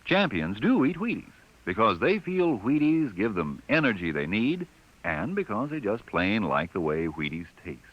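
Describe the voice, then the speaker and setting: A man's voice: fairly low pitch, big pitch swings, normal speed. A man reading a 1950s radio commercial in a chummy, persuasive way, talking straight to listeners. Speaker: commercial announcer. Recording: dull old radio broadcast.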